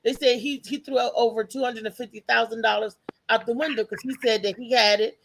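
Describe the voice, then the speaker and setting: A woman's voice: on the high side, steady tone, a little fast, a little hiss or hum, poor audio. A woman in a casual debate on a talk show panel, interjecting and challenging.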